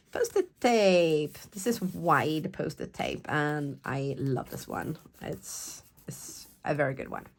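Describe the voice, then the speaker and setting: This is a woman presenting a craft demonstration at a middling pitch. Her voice is a little croaky and congested, as she is getting over a cold and sniffs now and then. She talks casually and playfully, interrupting herself with chatty asides. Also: slight background noise. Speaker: craft tutorial host.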